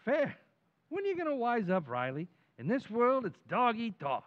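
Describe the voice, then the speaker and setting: A man acting a brash, cynical buddy in old-time radio comedy. The voice is high and swings widely, cocksure and needling, on a dull lo-fi recording. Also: huge pitch swings, moderate pace, full character voice. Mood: scornful, goading.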